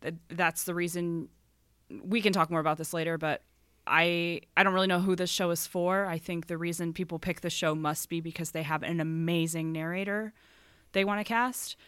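A woman's voice: lowish voice, moderate pace, smooth, no room sound. Opinionated woman podcaster, dry close sound. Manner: opinionated, gets animated and emphatic. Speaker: podcast co-host. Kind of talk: casual chat.

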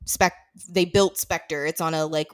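A woman's voice: sits low, fairly even intonation, a little slow. A woman talking casually and enthusiastically as a host in a film discussion.

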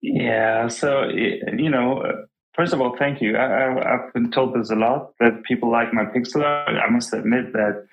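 A man's voice: medium pitch, moderate pace, dull audio, soft background music. A young man from Germany, a law student and pixel artist, answering podcast interview questions in a hesitant, humble way with many restarts. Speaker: guest artist.

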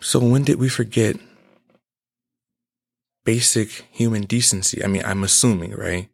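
A man's voice: on the low side, a little slow, creaky. A man in his twenties giving an emphatic, preachy personal monologue as a podcast host. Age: 20s.

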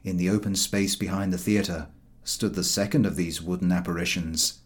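A man reading a comic story aloud as scripted audiobook narration, in an expressive storytelling style. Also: low-pitched, moderate pace. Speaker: audiobook reader.

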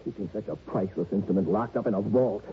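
An actor playing a temperamental, vain young violinist who has killed, impassioned and self-pitying in an old radio drama. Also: male voice, fairly low pitch, quick pace, close to an everyday voice. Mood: fervent, vain. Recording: tinny old radio broadcast, faint noise.

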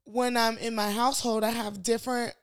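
A woman's voice: fairly high pitch, little pitch movement, fairly quick, clean tone. A young woman co-hosting a podcast, talking casually and thoughtfully, reflecting with many fillers. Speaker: podcast co-host. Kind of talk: casual chat.

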